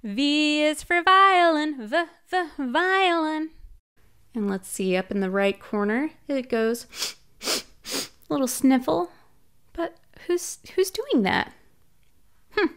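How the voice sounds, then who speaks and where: A young woman librarian reading a picture book aloud to children, sounding out letters playfully in a slow, animated storytime style. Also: high voice. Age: young adult. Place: small home room, indoors. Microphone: large studio mic on arm in front of her.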